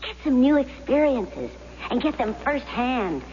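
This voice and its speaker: An actress playing a persuasive, affectionate, confident wife in radio drama, coaxing with emphatic theatrical delivery. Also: female voice, sits high, big pitch swings, a little slow, darkish tone. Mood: coaxing, teasing. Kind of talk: 1970s radio drama acting. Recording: dull, noisy, reverberant old radio recording.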